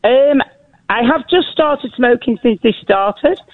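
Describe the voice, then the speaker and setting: A woman with a deep, crackly voice calls in to a radio show. She talks in a hesitant, nervous way and keeps apologising. The call comes through a thin, tinny phone line with no room sound. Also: lively intonation, takes it slowly, no echo. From northern England. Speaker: caller.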